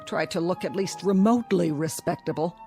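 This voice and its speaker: An American actress playing a cruel, nagging middle-aged wife in a radio drama, icily domineering, cutting and mock-polite. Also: female voice, fairly low pitch, brisk, vocal fry, a little mellow, faint music behind. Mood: bossy, irritated. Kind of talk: scripted radio drama acting.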